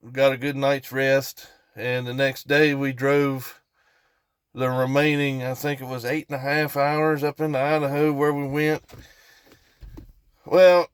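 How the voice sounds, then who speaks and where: A man's voice: on the high side, smooth, no echo, clean studio sound. Folksy Appalachian man telling a story. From West Virginia, United States. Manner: folksy, rambling, repeats for emphasis. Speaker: storytelling vlogger. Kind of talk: casual storytelling to viewers.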